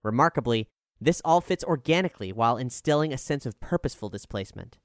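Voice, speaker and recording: A man narrating a scripted music review in a measured, articulate, formal way. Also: mid-range voice, animated. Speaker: narrator reading an album review.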